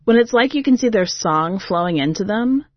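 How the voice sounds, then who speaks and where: A woman's voice: mid-range voice, animated, moderate pace, no echo, highs cut off. A woman reading a first-person story as a podcast host, in a colloquial, streetwise way.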